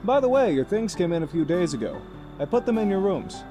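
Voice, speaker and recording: An actor voicing a jovial, welcoming uncle in a visual novel game, with a hearty manner. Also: male voice, high voice, sing-song, brisk. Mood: friendly. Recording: game audio under prominent music.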